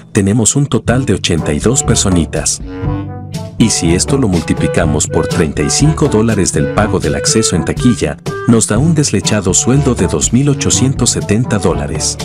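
A man's voice: low voice, expressive, normal speed. A man narrating a mocking commentary voice-over, scornful and sarcastic, with music behind. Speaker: channel narrator.

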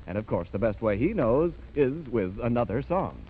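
A man's voice: medium pitch, very animated, moderate pace, slightly rough, soft background music. A man narrating a story as an announcer in scripted 1930s radio style. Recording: noisy, muffled 1930s radio recording.

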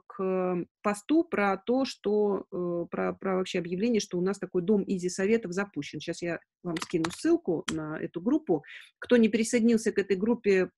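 Halting middle-aged woman hosting a webinar. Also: mid-range voice, darkish tone. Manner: halting, thinking aloud. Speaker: webinar host. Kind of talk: informal webinar talk, answering questions. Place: indoors, room at home. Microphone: video call on a laptop.

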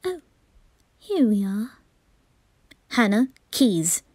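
A woman's voice: medium pitch, sing-song, extremely slow, darkish tone, dry recording, full character voice. A woman voicing a haughty, bratty teenage aristocrat boy in an anime ASMR roleplay, imperious and mocking. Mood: coolly hospitable.

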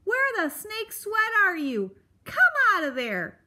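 A woman's voice: on the high side, big pitch swings, a little slow, a bit bright. A woman voicing a cantankerous old male storybook porcupine, blustering and exclaiming crude, colourful insults. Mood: gleeful bellowing.

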